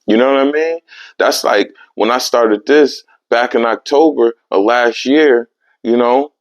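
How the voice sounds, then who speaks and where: A young man hosting his own podcast, talking in a casual, animated way with streetwise slang. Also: medium pitch, unhurried, no room sound. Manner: animated, streetwise slang, repeats "you know what I mean". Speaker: podcast host. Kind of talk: casual solo podcast monologue. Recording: dry, slightly dull lo-fi sound.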